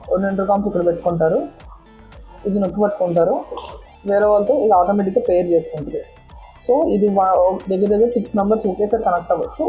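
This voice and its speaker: Very mellow, dark-voiced woman reviewing gear. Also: mid-range voice. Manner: explanatory, step-by-step, repeats words. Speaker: motorcycle vlogger and product reviewer. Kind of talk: casual unboxing and product explanation.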